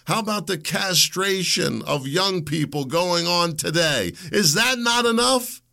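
A middle-aged man hosting a solo political commentary show, impassioned and rising to loud rhetorical questions, then drifting into rambling asides, with a slightly raspy voice. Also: high-pitched, expressive, measured pace. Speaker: host.

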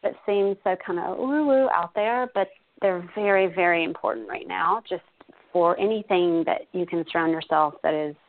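Female guest, 30s, conversational advice-giver, slightly low voice, narrow phone-quality sound. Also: normal speed. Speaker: guest giving advice on self-care. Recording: dull phone-like audio.

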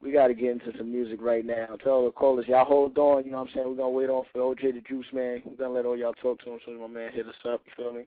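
A man hosting a call-in radio show, talking loose and hyped with slang and shout-outs. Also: medium pitch, level tone, fast talker, hardly any pauses, no echo. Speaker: radio host. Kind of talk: casual call-in radio chat. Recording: tinny phone-like line.

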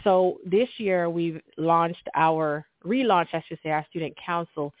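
A woman's voice: fairly low pitch, a little slow, no room sound. A woman from Jamaica with a Jamaican accent, a middle school principal speaking earnestly and enthusiastically as an interview guest, with many ums and polite asides. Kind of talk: conversational interview. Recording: tinny call audio, dry.

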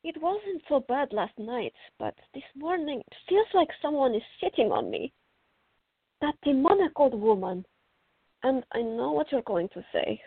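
A woman playing a fiery, excitable teenage art student in a radio drama, with a dramatic way of talking. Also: sits high, unhurried, creaky, breathy edge, no room sound, exaggerated voice. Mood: sleepy, grumbling. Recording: dry, thin phone-like band.

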